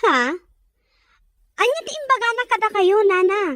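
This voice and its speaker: A woman playing a polite, soft-hearted young daughter in an audio drama, speaking gently and respectfully. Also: very high-pitched, normal speed, no room sound. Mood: polite, concerned. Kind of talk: Ilocano audio drama acting. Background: music.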